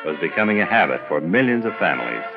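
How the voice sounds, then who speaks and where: A deep-voiced older man delivers scripted documentary narration with personal reminiscence. The recording is slightly dull, with music clearly behind him. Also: very animated, quick pace.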